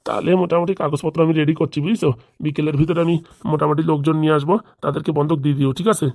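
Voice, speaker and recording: A man's voice: a little high, flat delivery, very fast, vocal fry, a little mellow, no room sound, studio quality. A man voicing a pushy, scheming father-in-law in a comic cartoon, badgering with questions. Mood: persuading. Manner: badgering, nagging with rhetorical questions. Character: pushy, scheming father-in-law pressing his son-in-law for money.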